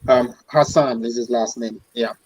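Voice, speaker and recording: A man's voice: medium pitch, steady tone, moderate pace, a little mellow, breathy edge, rough recording. A man, an athletics coach chatting casually as a guest, often repeating himself in short phrases. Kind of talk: casual chat.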